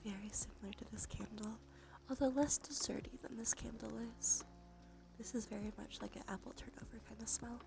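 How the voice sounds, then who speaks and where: A woman doing gentle, unhurried ASMR in a breathy whisper and soft murmur, her nose slightly stuffy. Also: fairly high pitch, fairly even intonation. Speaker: ASMR streamer, host. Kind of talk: ASMR, soft intimate role play and rambling. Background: soft music.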